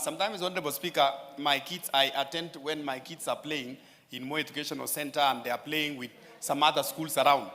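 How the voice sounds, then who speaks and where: A man addressing parliament in a floor speech, often turning to the Speaker and pausing on fillers. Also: a little high, fairly bright tone. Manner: addresses the Speaker repeatedly, with many filler pauses. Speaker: member of parliament. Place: large parliamentary chamber. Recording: chamber echo with long reverb.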